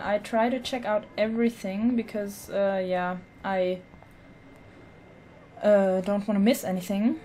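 Mellow woman let's player, slow and hesitant, casual commentary, game noise behind her. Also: mid-range voice. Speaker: let's play host. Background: video game ambience.

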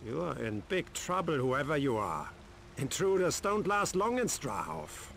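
A man's voice: fairly high pitch, big pitch swings, a little slow, gravelly, fairly bright tone, a little hiss or hum, heavily acted. An actor with a German accent playing a gloating, menacing male cult guard in a video game. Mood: threatening. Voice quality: heavy accent, theatrical menace.

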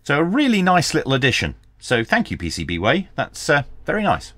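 A man's voice: a little high, wildly swooping pitch, brisk, fairly bright tone, no room sound. A middle-aged man hosting a hands-on product review and tutorial, explaining things step by step with dry asides. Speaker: radio review channel host. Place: small indoor home workshop.

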